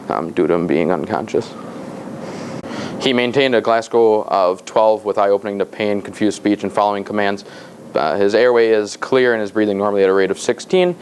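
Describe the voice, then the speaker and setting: A man's voice: lowish voice, a little hiss or hum. A man speaking as a paramedic, giving a matter-of-fact, methodical clinical patient report.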